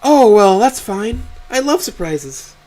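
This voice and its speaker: A man's voice: high-pitched, big pitch swings, slow pace, voice pushed up, heavily acted. A man voicing a bubbly, enthusiastic mother in a narrated story, with a gushing manner. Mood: cheerful. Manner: gushing, bright. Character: bubbly, enthusiastic anime-loving mother and wife.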